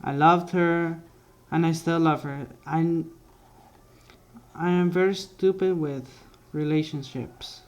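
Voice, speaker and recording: A young man casually narrating his life story over drawings, halting often and correcting himself as he goes. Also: on the high side, steady tone, darkish tone. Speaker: vlogger.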